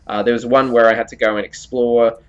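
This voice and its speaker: Guy doing a game review voiceover, chatty and explaining stuff, middling pitch, bit muffled lo-fi mic. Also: level tone, fairly quick, smooth. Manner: conversational, explanatory. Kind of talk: semi-scripted review commentary over gameplay footage.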